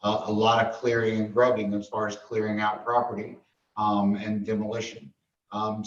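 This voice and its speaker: A man from a construction firm answering questions at a school board meeting in a relaxed, conversational way. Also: lowish voice. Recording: muffled distant audio in an echoey room with faint noise.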